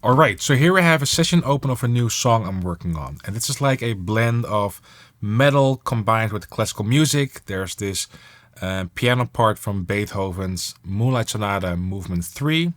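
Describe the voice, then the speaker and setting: A man presenting a software tutorial on music production in a casual, enthusiastic and emphatic way. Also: lowish voice.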